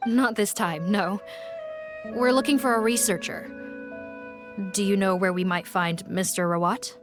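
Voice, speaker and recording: Dutiful woman officer played by an actress, mid-pitched. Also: unhurried, over music, natural voice. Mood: brisk, businesslike. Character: dutiful, formal, cautious female officer leading a team. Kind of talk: scripted video game dialogue.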